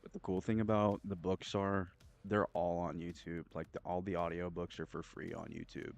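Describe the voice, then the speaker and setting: A man chatting casually as he streams a game, rambling and repetitive in a halting, slangy way full of filler words. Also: sits low, level tone, creaky, highs cut off, soft background music. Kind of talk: casual rambling chat while gaming. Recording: gunfire and game noise behind. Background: video game gunfire and clicks.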